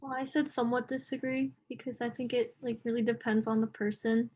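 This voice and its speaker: A teenage girl talks casually, leaning heavily on the word like. Her voice is a bit high for a woman but stays flat in tone, and she sounds like she is on a video call. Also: brisk. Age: teens. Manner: casual, many likes. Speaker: student panelist.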